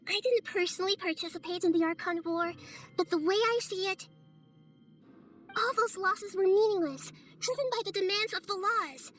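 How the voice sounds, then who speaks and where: A woman playing a composed, wise young goddess in a video game, speaking in a measured, thoughtful and articulate way. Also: extremely high pitch, a bit bright. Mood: solemn, firm. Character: small, composed, wise young goddess of wisdom, gentle but firm. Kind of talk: English video game cutscene voice acting. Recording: music playing behind the voice.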